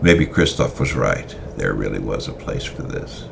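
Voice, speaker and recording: A man reading a story aloud in a semi-dramatic style, halting often with fillers and restarts. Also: a bass voice, animated, gravelly, a little hiss or hum.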